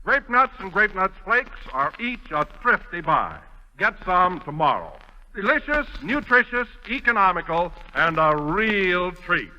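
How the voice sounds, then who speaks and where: A man delivers an old 1940s radio advertisement with polished, emphatic salesmanship. His voice is very bright and forceful, with lively swings in pitch, on a muffled vintage broadcast. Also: measured pace. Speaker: radio announcer reading a commercial.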